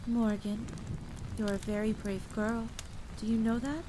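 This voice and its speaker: A woman voicing a gentle but commanding female field medic with a soft voice in an audio story. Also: mid-range voice, unhurried, close to an everyday voice. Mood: reassuring. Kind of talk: acted audio story dialogue. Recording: rain noise behind.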